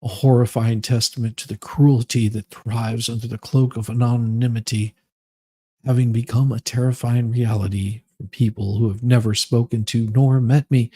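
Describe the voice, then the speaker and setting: A man voicing a self-pitying, melodramatic woman in a slow, overwrought, mock-solemn dramatic reading of a grievance essay. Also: mid-range voice, level tone, warm tone, lightly acted. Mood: wounded, grave.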